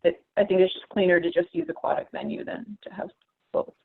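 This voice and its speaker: A woman's voice: on the low side, big pitch swings, a little fast, vocal fry, slightly rough. A woman giving explanatory comments in a committee meeting over a phone line. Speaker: committee participant. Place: phone conference call. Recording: tinny, narrow phone line.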